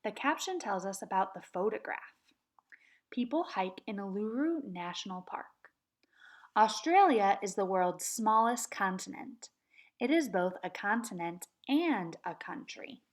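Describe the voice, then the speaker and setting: A woman teaching kindergarten, reading a nonfiction book aloud and chanting words slowly for the children to repeat. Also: mid-range voice, animated. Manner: slow, patient, sing-song chanting of words for children to repeat. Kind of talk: read-aloud lesson for young children.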